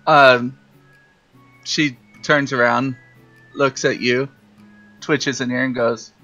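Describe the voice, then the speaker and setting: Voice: mid-range voice, steady tone, slow pace, sharp tone. A tabletop game player casually narrating their character's actions in the third person. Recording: dull lo-fi mic, faint music behind. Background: faint music.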